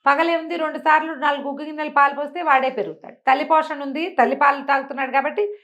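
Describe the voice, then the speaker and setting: A woman's voice: high voice, steady tone, fast talker, fairly bright tone, lo-fi sound, pitched above the performer's own voice. A woman storyteller voicing a blunt, scolding old village caretaker who grumbles in an exasperated, earthy way. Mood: grumbling.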